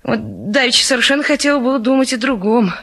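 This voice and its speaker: An actress playing a coy, naive, fluttery bride-to-be in her twenties, with drawn-out, sighing theatrical delivery. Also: a little high, gravelly, a bit bright. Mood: flustered, lovestruck. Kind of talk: theatrical stage comedy acting.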